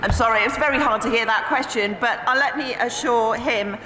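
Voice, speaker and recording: Formal woman minister, echoey chamber. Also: a little high. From Wales. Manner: formal, measured, partly read. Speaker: government minister answering questions. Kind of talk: parliamentary answers. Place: parliamentary chamber. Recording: echoey chamber with crowd murmur.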